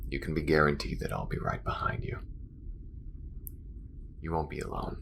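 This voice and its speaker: A man playing an earnest alien smuggler and loyal friend in an intimate audio roleplay, speaking haltingly and confidingly to the listener in a gravelly voice. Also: slight background noise, heavily acted. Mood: reassuring, warm. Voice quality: very deep, gravelly. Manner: halting and confiding, with frequent pauses. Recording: music behind the voice.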